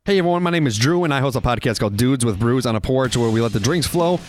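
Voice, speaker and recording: A man, host of another podcast, reading a scripted promo for his show in an upbeat, steady way. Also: medium pitch, fast talker, no echo. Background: faint guitar music.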